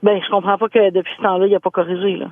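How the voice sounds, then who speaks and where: A woman's voice: medium pitch, level tone, racing, no room sound. A woman with a Québécois accent, a caller's girlfriend, speaking indignantly and accusingly. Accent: Québécois French. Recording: tinny phone line.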